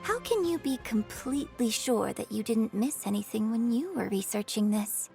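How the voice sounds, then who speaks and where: Courteous young game heroine, composed, sly, fairly high voice, music behind. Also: female voice, lively intonation, moderate pace, creaky, a little mellow. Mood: politely probing. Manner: composed, courteous, feigned innocence. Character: poised, polite, quietly cunning young female opera performer. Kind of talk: video game voice acting. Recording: background music under dialogue.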